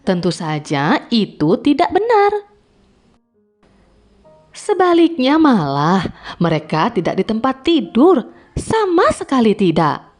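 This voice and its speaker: Slow, expressive woman reading a children's tale. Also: on the high side. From Indonesia. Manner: expressive, deliberate read-aloud delivery. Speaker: children's storyteller. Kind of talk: scripted fairy-tale narration for children. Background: music.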